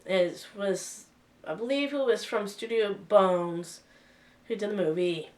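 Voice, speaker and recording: Woman vlogger with a cold, unhurried and halting, slightly distant from the mic. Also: mid-range voice, animated, a little mellow. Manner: hesitant, many fillers and restarts. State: sick with a cold. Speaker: vlogger reviewing a film. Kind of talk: casual spoken review.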